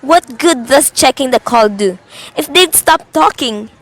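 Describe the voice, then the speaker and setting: A woman's voice: sits high, lively intonation, unhurried. A young woman playing an anxious, demanding invalid wife in a suspense radio drama, with a fretful and indignant manner. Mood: urgent, demanding. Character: anxious, demanding, self-important invalid wife, easily exasperated.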